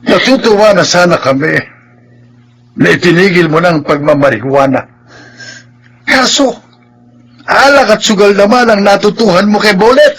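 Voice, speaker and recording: Husky, high-voiced concerned old uncle. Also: male voice, moderate pace, pauses often, fairly bright tone, exaggerated voice, rough recording. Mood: grave, admonishing. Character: concerned older uncle, protective, moralizing village elder. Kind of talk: Filipino radio drama acting.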